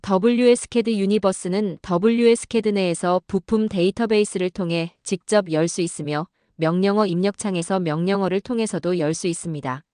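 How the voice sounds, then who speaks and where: A woman narrates a software tutorial in a soft, mellow voice of medium pitch. She reads formally and instructively from a script, in a very dry close recording. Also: no room sound. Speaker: webinar narrator.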